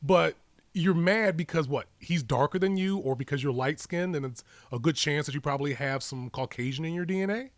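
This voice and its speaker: A man's voice: fairly high pitch, fairly quick, no echo. A man co-hosting a podcast in casual banter, arguing incredulously with rhetorical questions. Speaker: podcast co-host.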